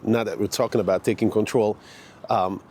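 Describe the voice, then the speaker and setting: Man in his forties, rambling vlogger, low voice, faint outdoor hum behind him. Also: steady tone, a little fast, darkish tone. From Hungary. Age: 40s. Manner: rambling, many fillers and restarts. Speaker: fitness vlogger. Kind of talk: casual walking vlog. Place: outdoor park in the morning.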